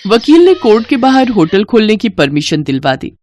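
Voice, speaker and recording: A woman's voice: mid-range voice, sing-song, normal speed, a little mellow. A woman storyteller reading scripted narration for a moral storybook tale.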